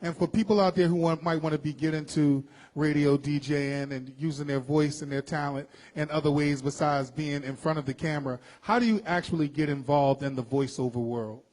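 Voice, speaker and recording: A man hosts a casual interview and asks long, drawn-out questions. He affirms his guest often and speaks in an encouraging way, and his voice is slightly higher than most men's. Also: steady tone, darkish tone. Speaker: interview host.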